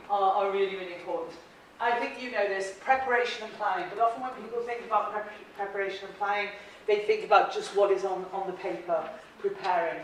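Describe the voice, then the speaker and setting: A woman's voice: mid-range voice, a little hiss or hum. An Irish woman leading a presentation-skills workshop for a live group, chatty and encouraging, laughing often and asking the room questions. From Ireland. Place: large echoey training room. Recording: very echoey room audio, from a distance.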